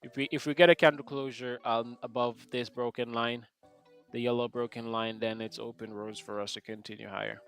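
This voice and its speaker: A man's voice: mid-range voice, level tone, brisk, fairly bright tone, soft background music. A man from Jamaica hosting a live trading stream, chatting casually and joking with viewers in the chat. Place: home setup, UK.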